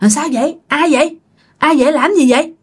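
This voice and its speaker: A woman's voice: high voice, a little fast, darkish tone, pitched above the performer's own voice, lightly acted. A woman voicing a blunt eighty-year-old matriarch who scolds and fires questions, with a Southern Vietnamese accent, in a told ghost story. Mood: wary, demanding. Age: 80s.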